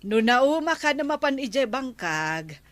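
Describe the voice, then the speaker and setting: Slow-spoken, animated village woman. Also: sits high, no echo, exaggerated voice. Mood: coaxing. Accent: Ilocano. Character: bargaining village woman hiring farm help. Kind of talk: Ilocano radio comedy drama acting.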